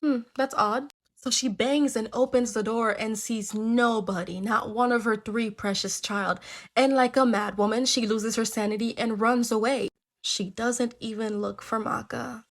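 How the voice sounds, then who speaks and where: A woman's voice: on the high side, fairly even intonation, normal speed. A woman telling folktales in a casual, chatty way, tossing in joking asides to listeners. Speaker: storyteller.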